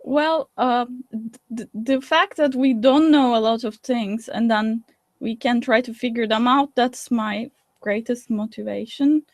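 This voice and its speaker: A fairly high-pitched woman talks through her work in a thoughtful, measured way, pausing on fillers and restarting phrases. The sound is dry and close, with no room around her, as on a video call. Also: no room sound. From Turkey. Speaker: guest scientist. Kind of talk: interview answers.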